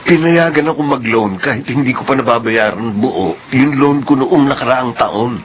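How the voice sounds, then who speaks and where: A man's voice: mid-range voice, normal speed, close to an everyday voice. A man playing a protective, reassuring middle-aged working father in a radio drama. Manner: concerned, reassuring. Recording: clear background noise.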